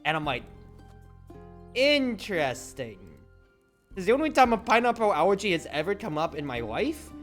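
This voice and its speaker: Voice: mid-range voice, very animated, fast, few pauses. A game streamer chatting casually, enthusiastic and digressive. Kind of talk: casual rambling chat. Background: faint music.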